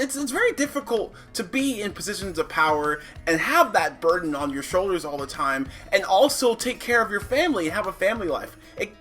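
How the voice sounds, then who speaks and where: A bright, clear-cut voice with a medium pitch gives a casual review over faint background music. The delivery is conversational and opinionated, thinking aloud with plenty of asides, and the intonation moves around in a lively way. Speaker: comic book reviewer.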